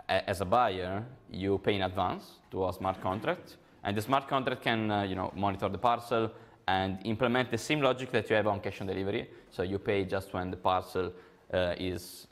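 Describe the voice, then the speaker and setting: A young man with an Italian accent gives a conference talk in a low voice. He explains step by step with frequent ums and uhs. A gravelly rasp sits under his words. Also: fairly even intonation, normal speed. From Italy. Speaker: conference speaker.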